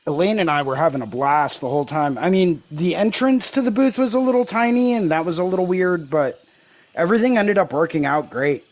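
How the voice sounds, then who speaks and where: A friendly, joking man with a fairly high voice talks about a convention over a video call. His call audio comes through dull and lo-fi. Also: animated, fairly quick. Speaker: guest tattoo artist. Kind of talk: casual chat.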